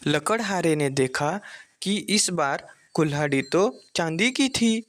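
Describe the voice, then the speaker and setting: A man's voice: fairly high pitch, expressive, takes it slowly, fairly bright tone. A man narrating a scripted children's folktale as the storyteller.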